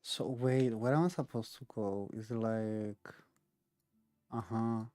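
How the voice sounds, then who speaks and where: A woman's voice: very deep voice, fairly even intonation, takes it slowly, warm tone, no room sound, soft background music. A woman giving casual game-streaming commentary, offhand, as if muttering to herself.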